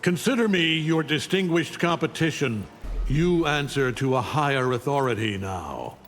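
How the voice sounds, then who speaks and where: An actor playing an arrogant, menacing alien superhero conqueror, imperious and taunting, in a fighting game. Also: male voice, fairly high pitch, expressive, normal speed, a bit bright, in a large hall. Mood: commanding, taunting. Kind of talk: fighting game character dialogue.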